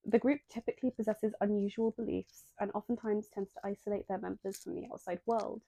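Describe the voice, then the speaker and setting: A young woman hosts a video essay in a low-lit, mellow voice with a gravelly edge. She sounds a little nasal and reads her script steadily, then slips into self-deprecating asides. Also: steady tone, a little fast, no echo, low-quality recording. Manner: self-deprecating, breaks into apologetic tangents.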